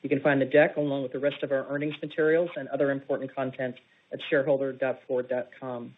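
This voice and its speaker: A woman's voice: rumbling low, little pitch movement, fast. A woman executive reads formal prepared corporate remarks on a conference call. The playback is dull and lo-fi, with faint noise. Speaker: investor relations executive. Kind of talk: formal scripted corporate reading.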